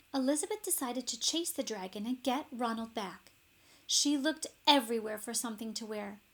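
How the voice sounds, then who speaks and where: A woman reads a children's story aloud in a higher-than-average voice. Her narration is slow and expressive, leaning on key words for dramatic emphasis. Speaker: storybook reader.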